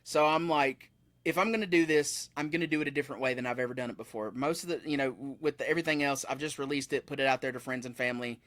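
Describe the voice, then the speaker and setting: A man's voice: mid-range voice, fast, a bit bright, dry recording. A man in his thirties, a musician guest telling stories in a casual, rambling way with frequent self-corrections. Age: 30s. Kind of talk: casual interview chat. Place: room with metal posters behind him.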